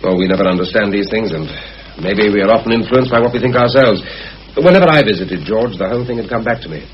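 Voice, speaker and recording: Guy acting earnest prickly bloke, deepish voice, old radio play with light hiss. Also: animated, fast, lightly acted. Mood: reflective. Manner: frank, earnest, emphatic. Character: earnest, defensive bachelor devoted to a widow. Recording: dull, hissy old radio drama recording.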